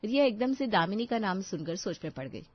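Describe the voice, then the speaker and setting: A woman's voice: medium pitch, sing-song, fairly quick, husky, no echo, dull audio. A woman storyteller narrating a scripted tale directly to listeners.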